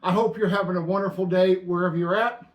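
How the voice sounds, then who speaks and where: A man with a fairly high voice hosts a casual show about stamps. He delivers facts and jokes in a dry, deadpan way. The room around him echoes noticeably. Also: fast talker. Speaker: host. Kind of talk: casual stamp-collecting chat.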